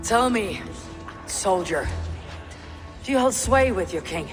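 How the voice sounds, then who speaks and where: Steady Viking warrior, echoing hall. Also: female voice, mid-range voice, slow. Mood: diplomatic. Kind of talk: dramatic video game acting. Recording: long hall reverb, music underneath.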